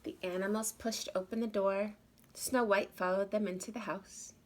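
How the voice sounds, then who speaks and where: A woman's voice: medium pitch, steady tone, normal speed, a little hiss or hum. A woman reading a children's story aloud expressively, as if to young listeners. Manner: addresses young listeners with questions. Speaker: storybook reader.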